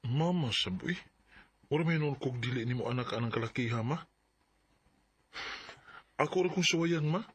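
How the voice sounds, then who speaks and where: A man's voice: medium pitch, normal speed, long pauses, a little hiss or hum, close to an everyday voice. An actor with a Philippine Bisaya accent playing an earnest young man, a son out courting, in a radio drama. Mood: earnest, pleading. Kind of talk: radio drama acting and first-person narration.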